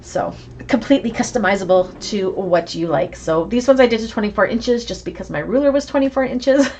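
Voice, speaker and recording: Chatty woman teaching a sewing class. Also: sits high. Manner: chatty, encouraging, reading out viewer comments. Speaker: sewing instructor. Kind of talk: live online class.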